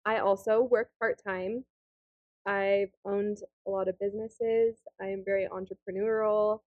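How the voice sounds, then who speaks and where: A woman's voice: medium pitch, level tone, unhurried, no echo, phone-quality sound. A woman in her late twenties co-hosting a casual podcast, upbeat and gushing. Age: 20s. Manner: upbeat, gushing, warm compliments. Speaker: podcast co-host. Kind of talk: casual chat.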